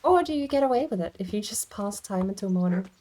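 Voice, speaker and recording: A woman's voice: mid-range voice, expressive, quick pace, mellow, a little hiss or hum. A woman giving casual livestream game commentary, rambling and thinking aloud as she plays. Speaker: game streamer.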